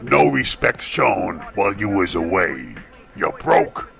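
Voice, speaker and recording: A hulking monster thug for a motion comic. He growls in a low, extremely gravelly voice, dragging out curt words very slowly. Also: big pitch swings, a bit bright, soft background music, exaggerated voice. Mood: gruff.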